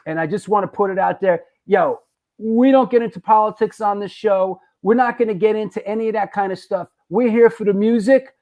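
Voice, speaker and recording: A high-pitched man hosts a live online show. He talks loosely and jokes around, stumbling over and repeating his words. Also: brisk, dark tone. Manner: loose, joking, repeats himself. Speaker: host.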